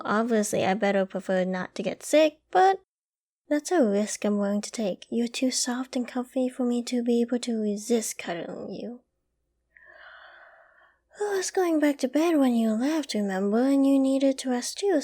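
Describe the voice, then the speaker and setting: A doting young girlfriend, acted by a woman in a comforting ASMR roleplay. Her voice sits fairly high yet is very mellow and warm. She speaks tenderly and intimately, cooing with affection and gently teasing her partner. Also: lightly acted. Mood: teasing, affectionate.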